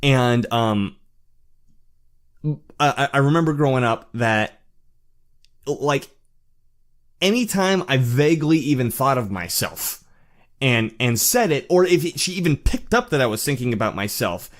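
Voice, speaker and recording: A man's voice: medium pitch, big pitch swings. A man speaking in a casual personal monologue, candid and reflective, often stumbling and restarting his words. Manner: halting, with frequent stammered restarts. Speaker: vlogger sharing personal experience.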